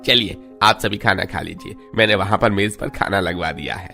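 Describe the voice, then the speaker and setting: A man's voice: deep voice, normal speed, gravelly, sharp tone, soft background music, full character voice. A man playing a generous, hospitable wealthy village merchant in a cartoon story, speaking formally and courteously. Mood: hospitable, concerned. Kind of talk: Hindi cartoon story acting.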